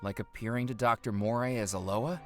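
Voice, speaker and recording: An actor voicing a curious, wry investigator who questions dryly. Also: male voice, mid-range voice, fairly even intonation, brisk, close to an everyday voice. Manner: dry, questioning. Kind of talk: video game voice acting. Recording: faint game music behind.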